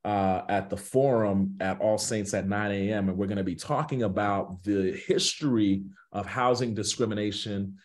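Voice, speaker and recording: Casting: American male pastor, thirties, lowish voice, earnest extemporaneous preaching cadence, emphatic repetition. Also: fairly even intonation, moderate pace, few pauses. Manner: emphatic, repeats phrases for weight. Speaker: pastor, guest speaker. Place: small indoor room on a video call.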